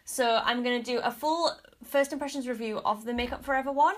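A woman's voice: fairly high pitch, a little fast. Congested Australian woman chatting about makeup. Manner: chatty, frank, often hedging with kind of and like. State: congested, stuffy nose. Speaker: beauty vlogger. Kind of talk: casual makeup review. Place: home bedroom vanity with mirror lights, indoors.

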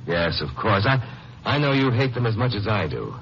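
Terse radio crime fighter, noisy old recording. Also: male voice, on the low side, very animated, moderate pace, muffled recording. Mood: earnest, resolute. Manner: terse, dry, hard-boiled. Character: Phil, hard-boiled male lawyer and crime fighter. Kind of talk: 1950s radio crime drama acting.

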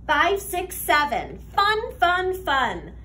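A young woman reading a children's picture book aloud like a teacher, expressive, sing-song and deliberate. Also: very high-pitched, slight background noise. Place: classroom, indoors.

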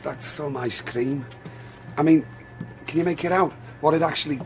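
An actor playing a blunt, grumbling and fearful expedition cook in a radio drama. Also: male voice, a little high, moderate pace, over music, lightly acted. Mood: uneasy.

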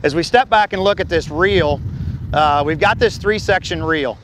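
A man's voice: a little high, moderate pace, fairly bright tone, slight background noise, poor audio. A man in his thirties explaining a machine as a company representative, speaking plainly with frequent fillers and restarts, recorded outdoors on a lapel mic. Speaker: farm equipment company representative. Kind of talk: product walkaround demo. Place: outdoors on a grassy field beside a combine.

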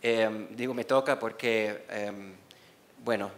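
Halting slow-spoken non-native man presenting. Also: lowish voice, fairly even intonation. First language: not Spanish. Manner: hesitant, many fillers and restarts, stumbles over names. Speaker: award presenter. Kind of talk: reading award nominees at a conference. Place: university auditorium, seated at a panel table. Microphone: desk microphone on a stand.

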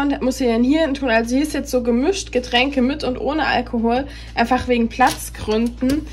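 A young woman in her twenties chatting casually to her phone camera in a home kitchen, rambling with many fillers. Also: high voice. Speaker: vlogger. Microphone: phone camera built-in mic. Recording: noisy phone recording.

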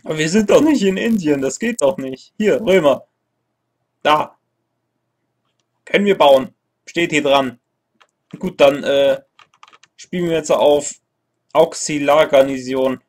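Husky young man thinking aloud over a game. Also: on the high side, slow, pauses often. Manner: thinks aloud, grumbles, self-corrects. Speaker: let's play gamer. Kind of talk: casual gameplay commentary. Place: small room indoors at a computer.

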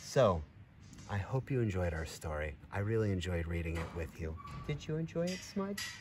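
Animated middle-aged man reading aloud outdoors. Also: deep voice, moderate pace, background noise. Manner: expressive, encouraging, addresses children and animal directly. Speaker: teacher reading a picture book aloud to children. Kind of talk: animated storybook reading with friendly asides to young listeners. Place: outdoors in a grassy farmyard by a barn. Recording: clear outdoor background ambience.

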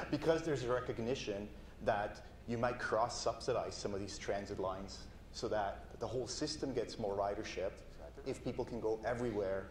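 A man's voice: fairly low pitch, a little hiss or hum. Male panelist in his thirties, from Switzerland, chatty and friendly, roomy far-off hall sound. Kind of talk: panel discussion. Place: conference stage, indoors.

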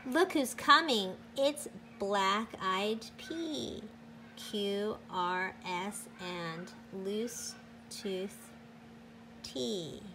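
A woman teacher reading a picture book aloud to children in a deliberate, sing-song, emphatic way. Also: medium pitch, pushed and bright, a little hiss or hum, poor audio.

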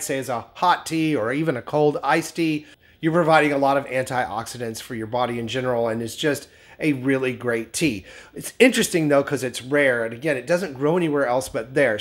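A man's voice: medium pitch, fairly bright tone. A man in his thirties hosting a skincare review vlog from his home kitchen, speaking straight to camera with upbeat enthusiasm, sounding slightly nasal and scratchy after a cold. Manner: upbeat, enthusiastic, addresses viewers directly. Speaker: skincare channel host. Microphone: camera at medium framing, seated facing lens.

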